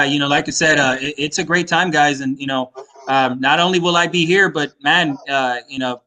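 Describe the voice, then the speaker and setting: A man's voice: on the high side, bright, forceful tone, poor audio. A man in his thirties, a guest chatting casually on a podcast, easygoing and rambling with plenty of fillers. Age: 30s. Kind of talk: casual chat.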